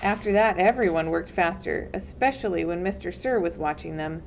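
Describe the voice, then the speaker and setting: A woman's voice: fairly low pitch, animated, normal speed, gravelly. A woman reading a storybook aloud as narrator, expressively, like a teacher. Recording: dull lo-fi sound, faint noise.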